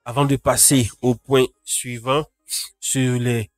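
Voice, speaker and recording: A man's voice: mid-range voice, level tone, slow, no room sound, clean studio sound, faint music behind. A man with a cold hosting a casual live tech news roundup, greeting chat viewers by name. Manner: talks to live chat viewers, frequent restarts. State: has a cold.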